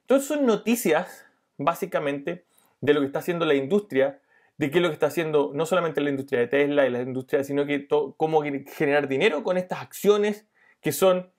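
Brief: middle-aged male online coach, slightly higher voice, explanatory tutorial delivery. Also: a little fast. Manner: explanatory, with fillers and restarts. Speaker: YouTube money-making coach. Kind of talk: screen-share tutorial.

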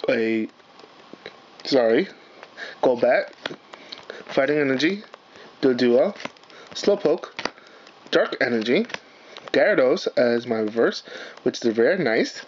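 A man with a hoarse, raspy voice, chatting casually as he opens trading card packs on his channel, with lots of fillers and apologies. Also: mid-range voice, lively intonation, slow pace, long pauses. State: hoarse, partly lost voice. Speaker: channel host, card unboxer. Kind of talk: casual unboxing vlog. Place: indoors at a table, camera on the cards. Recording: dull lo-fi home recording with faint hiss.

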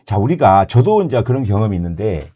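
A man's voice: fairly low pitch, sing-song, fairly quick, dark tone, breathy edge, highs cut off. A man in his sixties, an insurance advisor explaining coverage in an emphatic sales talk, often repeating key points and correcting himself mid-word. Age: 60s.

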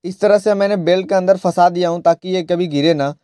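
A high-pitched man talks through a casual vlog tutorial, explaining each step in a chatty way. His voice is close and dry, caught by an earphone mic tucked inside his helmet. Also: fairly even intonation, fairly quick, warm tone, no echo. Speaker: moto vlogger. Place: riding a motorcycle, then at a shop table.

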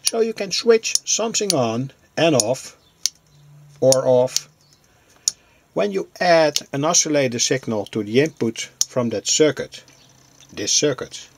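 A man giving an unscripted hands-on demonstration as a hobbyist presenter, explaining things in a halting, deliberate way. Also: mid-range voice, lively intonation, creaky, background noise.

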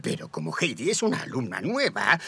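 An actor playing a fussy, formal, easily flustered elderly tutor in a Castilian Spanish cartoon dub. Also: male voice, medium pitch, wildly swooping pitch, a little fast, pushed and bright, breathy edge, no room sound, exaggerated voice. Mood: stern, formal. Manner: formal, theatrical, exclamatory. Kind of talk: animated series dub acting.

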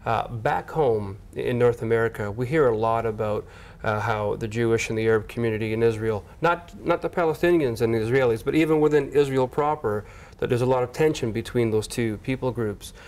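A man's voice: medium pitch, fairly quick, few pauses. A man in his thirties hosting a show, chatting energetically and interviewing a guest inside a church hall on a lapel mic. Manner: friendly, energetic, chatty with laughs. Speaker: TV host interviewer. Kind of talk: casual on-location interview.

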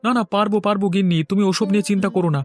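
A man's voice: high-pitched, flat delivery, very fast, soft background music, natural voice. A man voicing a thin, meek young farmer in a village cartoon, speaking hesitantly but eagerly. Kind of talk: cartoon voice acting.

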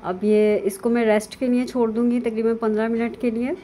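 A woman, calmly narrating a cooking lesson step by step over video of her hands at work. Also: a little high, fairly quick, a little hiss or hum. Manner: calm, instructive, with frequent fillers. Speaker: cooking channel host. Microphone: voice-over over hands-only video.

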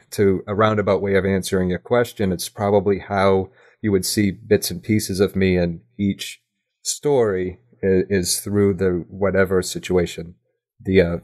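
Deep-voiced man, a reflective podcast guest. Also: a little mellow. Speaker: podcast guest, audio drama writer. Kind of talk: casual, reflective interview chat.

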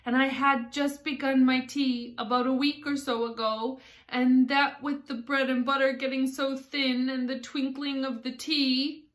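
A woman's voice: fairly high pitch, animated, takes it slowly, hardly any pauses, pushed and bright, voice pushed up, full character voice. A woman voicing a nervous, miserable male hatter from a storybook, pleading timidly in a trembling voice.

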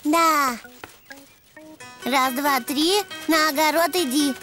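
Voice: high voice, slow, bright, forceful tone, soft background music, exaggerated voice. An actor voicing a cheerful, playful little boy donkey in a preschool cartoon, chatting and wondering aloud in a sing-song way. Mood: playful, excited. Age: young child.

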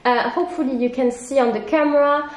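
Young woman with a cold, stuffy nose, high chatty voice, kinda echoey living room. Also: fairly even intonation, brisk. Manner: chatty, upbeat, explains step by step. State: congested from a cold. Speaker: running vlogger. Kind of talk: product review to camera. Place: living room at home, indoors.

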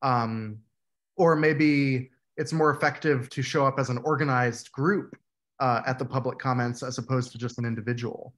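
A man in his thirties leading an online workshop on a video call, explaining points in a measured way while his voice goes hoarse. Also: medium pitch, fairly even intonation, a bit bright. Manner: measured, explanatory, says "right?" often. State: losing his voice, clearing throat. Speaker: workshop facilitator. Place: room at home, indoors.

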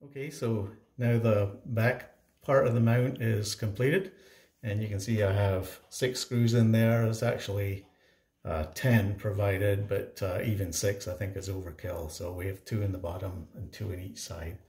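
A man giving a casual how-to narration of a home installation, explaining step by step with halting pauses mid-sentence. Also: lowish voice, steady tone, a little mellow. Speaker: DIY home installer presenting his project. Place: indoors in a home.

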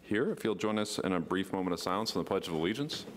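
A man in his forties chairing a public board meeting from a desk microphone, brisk and procedural. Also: deep voice, lively intonation, slight background noise. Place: large county board chamber.

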